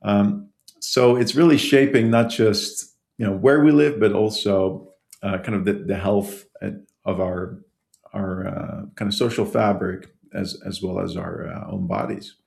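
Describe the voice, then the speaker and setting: A man's voice: lowish voice, measured pace, creaky, a little mellow. A man from the Netherlands, a guest author, answering interview questions in a hesitant way with many restarts and small laughs. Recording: roomy echo.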